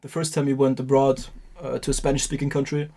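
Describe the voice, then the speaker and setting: A man hosting a podcast interview, asking questions in a measured, deliberate way. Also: mid-range voice, flat delivery, mellow. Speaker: podcast host.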